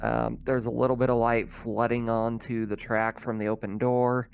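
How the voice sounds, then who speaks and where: A man running a tabletop roleplaying game as game master, describing scenes in a halting, improvised way. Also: mid-range voice, level tone, creaky, smooth.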